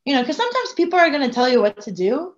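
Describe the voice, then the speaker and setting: Woman, forties, non-native English speaker, restaurant owner, animated, rambling, video call, dull audio. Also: high-pitched, very fast. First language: French. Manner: animated, gestures, rambling asides. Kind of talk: casual panel talk. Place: home room, indoors.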